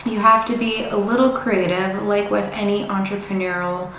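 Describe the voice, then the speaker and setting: A young American woman talking casually to camera, explaining things in a chatty, informative way with some fillers, in a bright room indoors. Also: medium pitch, fairly even intonation, normal speed. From United States. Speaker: vlogger, psychedelic research student. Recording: very echoey room, faint hiss.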